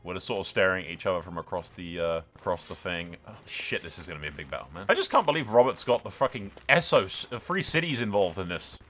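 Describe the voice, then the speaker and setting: Male gaming host, lower-pitched but bright and forceful, very animated swings, chatty and sweary story-led commentary. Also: fast talker, few pauses, soft background music. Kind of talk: casual gameplay commentary with roleplay storytelling.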